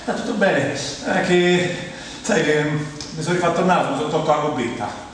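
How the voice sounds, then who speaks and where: A man's voice: fairly high pitch, fairly quick, a little hiss or hum. A man from Rome with a Roman Italian accent, delivering a humorous theatrical monologue in a conversational, colloquial manner full of asides. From Rome, Italy. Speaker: stage monologist. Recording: very echoey hall, distant mic, music. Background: plucked guitar music.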